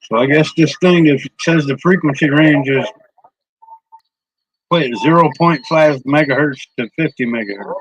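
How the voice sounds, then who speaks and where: Husky-voiced guy rambling on his stream, laid back, cussing, dry slightly muffled sound. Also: a little high, normal speed, dry recording. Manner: laid-back, swears freely, mumbles to himself. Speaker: streamer and CB radio hobbyist. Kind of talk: casual live-stream chat.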